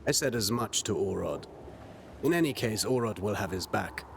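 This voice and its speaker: An actor playing a weary but loyal, stoic veteran warrior in a game cutscene, measured and grave. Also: male voice, animated. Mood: resolute. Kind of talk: dramatic video game cutscene acting. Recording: clear rumbling background noise.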